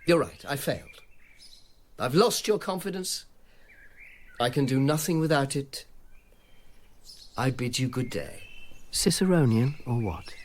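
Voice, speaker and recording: A man's voice: medium pitch, lively intonation, measured pace, long pauses, close to an everyday voice. An actor playing a dry, self-mocking Roman informer who narrates his own story in a radio drama. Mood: wry. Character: wry male Roman informer, world-weary. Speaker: narrator and lead character.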